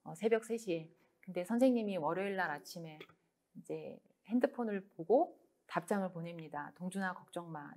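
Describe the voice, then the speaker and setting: A woman author giving a book talk, speaking in a soft, measured way with many pauses and fillers. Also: lowish voice.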